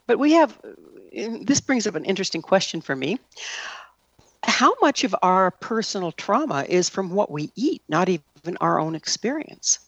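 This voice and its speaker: A woman's voice: medium pitch, very animated, moderate pace, no echo. A woman hosting a broadcast interview show and making show announcements. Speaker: radio show host.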